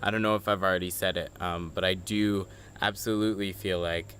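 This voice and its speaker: A teenage boy, a high school senior, chatting in a rambling way in a casual video diary at home and laughing at himself. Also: fairly even intonation, fairly quick, fairly bright tone, slight background noise. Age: teens. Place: at home, partly outdoors on a deck. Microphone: handheld camera.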